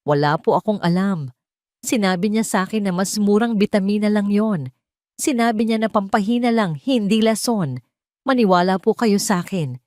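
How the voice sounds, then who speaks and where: A woman's voice: mid-range voice, normal speed, darkish tone, dry recording, studio quality, natural voice. A woman voicing a scared, guilty poor woman who pleads tremblingly and deferentially in an audio drama. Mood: pleading.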